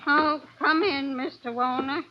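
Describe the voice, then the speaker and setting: Fussy folksy older lady from an old radio play, really high voice, muffled old recording. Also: steady tone, a little slow, background noise. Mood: fussing. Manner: chatty, folksy, fussing. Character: chatty, motherly, fussing older lady passenger. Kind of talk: 1940s-50s radio drama acting. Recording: dull vintage radio recording.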